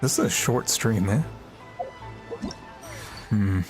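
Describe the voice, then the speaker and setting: A man's voice: on the low side, slow pace. A man livestreaming a video game, chatting casually and musing aloud in a laid-back way. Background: game music.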